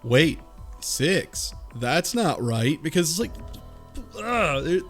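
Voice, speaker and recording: A man's voice: on the high side, big pitch swings, takes it slowly, faint music behind. A man co-hosting a casual podcast in a jokey, informal way, acting out lines as he recaps a game story. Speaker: podcast host. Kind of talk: casual, joking discussion of a video game story.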